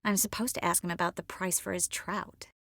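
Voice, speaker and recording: Caring, wry young sorceress. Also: female voice, on the low side, fairly even intonation, brisk, sharp tone, no room sound, clean studio sound. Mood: matter-of-fact, wry. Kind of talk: fantasy video game voice acting.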